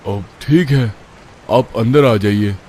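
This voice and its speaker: A man's voice: lowish voice, slow pace, warm tone, slight background noise. A man voicing an anxious but kind young man, hesitant and wary, in a horror cartoon story. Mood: kind, polite.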